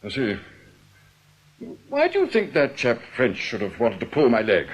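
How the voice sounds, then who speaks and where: An actor with an English accent playing a stiff, earnest, touchy bank manager in a radio drama, formal, clipped and a little hesitant. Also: male voice, medium pitch, huge pitch swings, normal speed, husky. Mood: puzzled, suspicious. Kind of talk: British radio drama acting.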